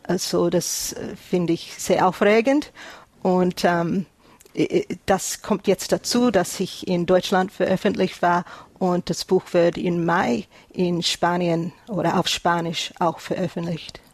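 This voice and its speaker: A woman's voice: fairly low pitch, fairly even intonation, a little slow, a little mellow, dry recording. An Australian woman in her thirties, an author and police officer, interviewed in German, searching for words with many fillers and restarts. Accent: Australian English. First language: English. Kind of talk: interview guest.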